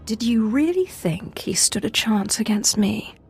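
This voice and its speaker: An arrogant female Sith sorceress in a video game. She speaks with haughty, threatening poise at a middle pitch. Music plays behind her. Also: animated, a little slow, a little mellow, slightly rough, exaggerated voice. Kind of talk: scripted video game dialogue.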